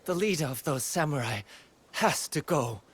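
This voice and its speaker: A proud young swordsman in a video game. His voice runs fairly high and leaps widely, defensive and intense, with an earnest protector's conviction. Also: lively intonation, normal speed, fairly bright tone, breathy edge. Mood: pleading. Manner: defiant, intense, guarded. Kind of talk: video game cutscene acting.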